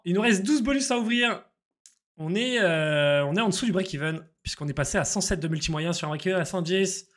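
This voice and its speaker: A man, live-streaming slot games and chatting excitedly with viewers over a headset in a small room. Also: sits high. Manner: excitable, slangy, chats with viewers. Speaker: casino streamer.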